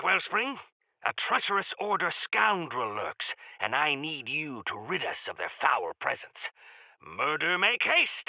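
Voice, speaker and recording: A man's voice: a little high, gravelly, pushed and bright, dry recording, full character voice, poor audio. An actor voicing a genial, pompous male quest-giver in a video game, speaking in grandiloquent, courtly phrases with wry asides. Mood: brisk, wry. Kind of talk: theatrical video game character acting.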